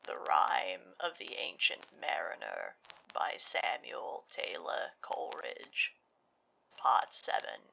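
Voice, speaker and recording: A man reads an old poem aloud with a gravelly, bright voice. His pitch swings widely, and he takes it very slowly and dramatically, sinking now and then into a whisper. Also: slight background noise, rough recording. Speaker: poetry reader. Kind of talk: slow dramatic poetry recitation.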